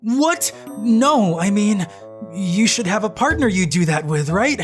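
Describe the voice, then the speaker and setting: A woman's voice: mid-range voice, sing-song, measured pace. A woman voicing a flustered young male office worker in a manga dub, exclaiming and muttering his inner thoughts. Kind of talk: manga dub narration and acting. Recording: faint background music.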